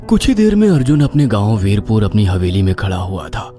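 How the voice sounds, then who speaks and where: A man's voice: fairly low pitch, very animated, brisk, music in the background. A man narrating a scripted horror story in a dramatic style.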